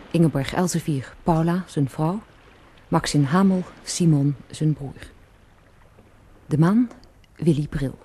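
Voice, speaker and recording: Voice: mid-range voice, mellow, a little hiss or hum. An announcer reading scripted closing credits for a radio play.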